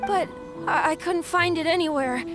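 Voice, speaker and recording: A woman voicing a small, earnest, plaintive child with a squeaky voice in an anime-style video game. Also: normal speed. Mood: upset, pleading. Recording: music behind the voice.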